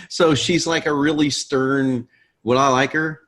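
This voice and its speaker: A man's voice: a little high, slow pace, a bit bright. A man hosting a course, joking in laid-back casual chat on a video call before the lesson. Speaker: course host.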